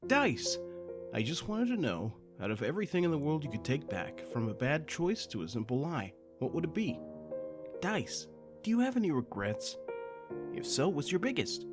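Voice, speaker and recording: A man's voice: fairly high pitch, huge pitch swings, moderate pace. A man playing a curious, earnest questioner in a scripted comic dub. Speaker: asker putting questions to the characters. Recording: music under the voice.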